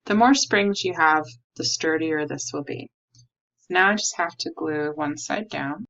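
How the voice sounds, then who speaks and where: A woman with a low voice and plenty of vocal fry teaches a craft step by step. Her phrasing is simple and instructional, and the recording is a little dull. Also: a little slow, fairly bright tone. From United States. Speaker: art teacher. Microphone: recording a tabletop demonstration under an overhead camera. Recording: slightly dull, lo-fi audio.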